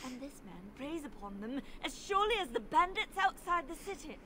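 An actress playing an earnest, righteous priest in a fantasy video game, with a solemn, formal way of speaking. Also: female voice, sits high, big pitch swings, fairly quick, noisy background. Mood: indignant. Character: earnest, righteous female priest defending the needy. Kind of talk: video game character acting.

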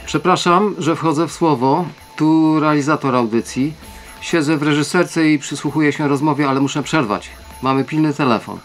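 An actor playing an apologetic, conspiratorial radio sound engineer in a Christmas play, cutting in informally. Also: male voice, fairly high pitch, moderate pace, faint music behind, lightly acted.

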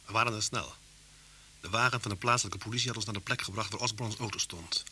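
A man's voice: lowish voice, quick pace, sharp tone. An actor playing a police detective who narrates his case in a scripted radio play. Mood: matter-of-fact. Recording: noisy old radio drama recording.

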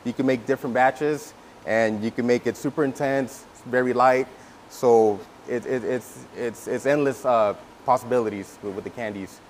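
Relaxed, repeating male painter from California. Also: mid-range voice, moderate pace, a little hiss or hum. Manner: relaxed, many repeated words and fillers. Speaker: guest instructor, custom painter. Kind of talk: casual workshop teaching. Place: indoor event room before a backdrop. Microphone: lapel mic.

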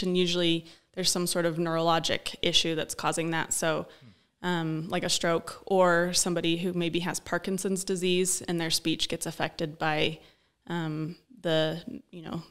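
A young woman, a speech-language pathologist, explaining her work in a relaxed interview, often pausing with "um," recorded on a studio desk mic. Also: lowish voice. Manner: explanatory, thoughtful, frequent um fillers. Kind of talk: conversational interview. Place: small studio office. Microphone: desk-mounted broadcast mic.

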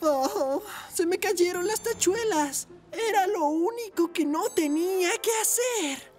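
A woman's voice: extremely high pitch, expressive, takes it slowly, exaggerated voice. A woman voicing a flustered, exclamatory talking vehicle in a children's cartoon dub. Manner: exclamatory, alarmed. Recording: music behind the voice.

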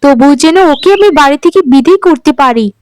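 A woman playing a spiteful, bossy sister-in-law in a cartoon, nagging with a scornful, sharp tongue. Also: high-pitched, expressive, fairly quick. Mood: resentful grumbling. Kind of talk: Bengali cartoon voice acting.

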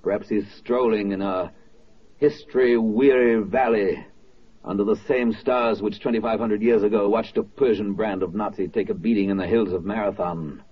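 An actor playing a solemn, rhetorical narrator in a 1940s radio drama, with deliberate, oratorical phrasing. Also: male voice, on the low side, steady tone, husky. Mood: solemn, commanding. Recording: dull vintage radio recording, faint music.

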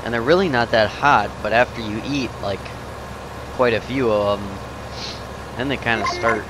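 A man's voice: mid-range voice, normal speed. A young man chatting casually while he plays a game, rambling and talking to himself, a bit sniffly from a runny nose. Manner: rambling, self-talking, easily surprised. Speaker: gaming channel host. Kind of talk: casual let's-play commentary. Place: home gaming setup, indoors. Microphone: headset. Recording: noisy home recording.

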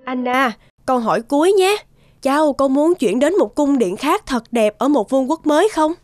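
A woman voicing a kindly fairy-godmother judge in a cartoon, speaking in a measured, gently questioning way. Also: high-pitched, lively intonation, dry recording, studio quality. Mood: gently curious. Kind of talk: animated cartoon dialogue.

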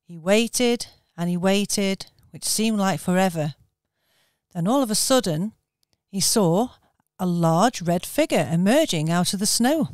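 Woman narrating a children's story, smooth voice. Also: mid-range voice, lively intonation, no room sound, clean studio sound. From England. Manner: gentle, measured storytelling.

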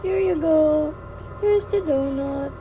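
A woman's voice: high-pitched, takes it slowly, highs cut off. A woman playing a polite, obliging flight attendant in a homemade toy-app roleplay. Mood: polite, helpful. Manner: courteous, helpful. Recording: noisy homemade tablet recording.

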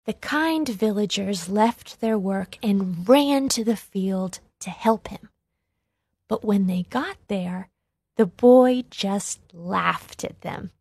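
A woman narrating a children's read-along storybook with careful, deliberate phrasing. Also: medium pitch, creaky, darkish tone, husky, dry recording.

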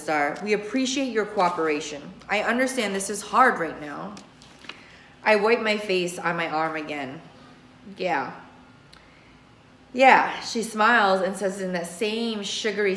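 In a small, echoing room, a middle-aged woman reads a novel aloud. Her pitch sits in the middle for a woman. She performs the dialogue with emphasis and lets the speech tags fall away more softly. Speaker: teacher reading a novel aloud. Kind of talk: expressive audiobook-style read-aloud of a novel. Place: small indoor room with bookshelves.

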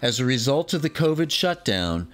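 A man in his sixties reading a scripted voice-over in a measured, informative way. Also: medium pitch, big pitch swings, fairly bright tone, soft background music. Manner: measured, informative, fond of the subject. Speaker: documentary narrator.

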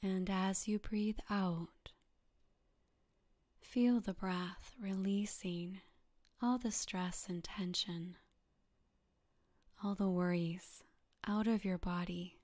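A woman softly guiding a meditation in a breathy, half-whispered voice, calm and soothing, with gentle instructions and long pauses. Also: mid-range voice. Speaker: yoga nidra meditation guide. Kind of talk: guided meditation narration.

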